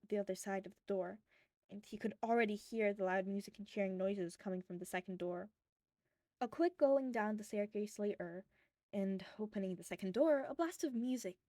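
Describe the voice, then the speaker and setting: A woman's voice: mid-range voice, dark tone, no room sound. A woman reading fan fiction aloud as scripted, audiobook-style narration.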